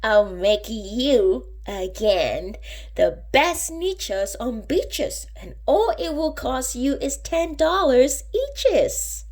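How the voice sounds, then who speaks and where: A woman reading a storybook, voicing a slick, upbeat male travelling salesman with a persuasive showman's pitch. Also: very animated, very slow, a little mellow, exaggerated voice. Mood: sly, reassuring.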